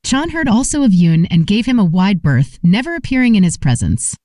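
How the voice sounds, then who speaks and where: A woman's voice: mid-range voice, very animated, brisk, warm tone, no echo, studio quality. A woman reading a scripted folk tale as an audiobook narrator.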